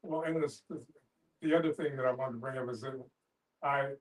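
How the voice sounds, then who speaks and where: An older man talking in a halting, emphatic, folksy way in a casual group discussion. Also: medium pitch, steady tone, far from the mic, rough recording.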